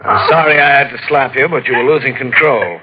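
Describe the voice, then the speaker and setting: A hard-nosed homicide detective, played by an actor, giving clipped, stern orders in a middle-pitched voice. The sound is dull and muffled, as in an old radio broadcast. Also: male voice, a little fast, noisy background, close to an everyday voice. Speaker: police detective. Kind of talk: 1940s radio acting.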